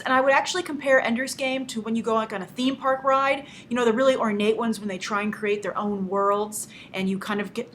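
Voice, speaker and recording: A woman's voice: fairly high pitch, a bit bright, a little hiss or hum. A woman hosting a movie review show, giving her opinions with emphatic stress on key words.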